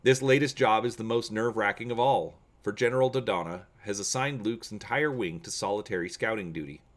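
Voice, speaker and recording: A man reading an adventure gamebook aloud as a channel host, with measured, theatrical, deliberate phrasing. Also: on the low side. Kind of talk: dramatic audiobook-style reading of an adventure gamebook.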